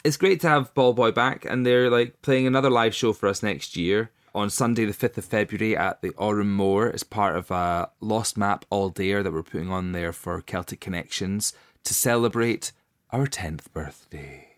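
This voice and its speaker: A man's voice: on the low side, moderate pace, no echo, studio quality. A man co-hosting a casual podcast, jokey and giggly, with playful swearing. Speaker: podcast co-host. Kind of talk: casual label podcast chat.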